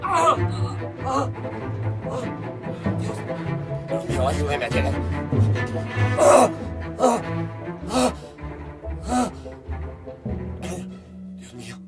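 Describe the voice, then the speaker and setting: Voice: medium pitch, wildly swooping pitch, drawn-out words, pauses often, over loud music, exaggerated voice. An actor in a radio drama playing a desperate, panicked wounded victim, gasping for breath.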